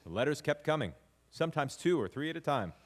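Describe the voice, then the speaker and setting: An actor playing a grandson fondly narrating family history in a wry storytelling manner on stage. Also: male voice, fairly high pitch, very animated, quick pace. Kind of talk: dramatic monologue narration before live audience.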